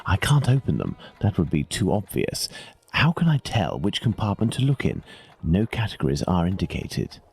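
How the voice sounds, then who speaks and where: An actor playing a brilliant, composed English gentleman detective in a video game, speaking in formal, deliberate, analytical phrases. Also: deep voice, huge pitch swings, gravelly, husky, heavily acted. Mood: pondering. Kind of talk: scripted video game acting.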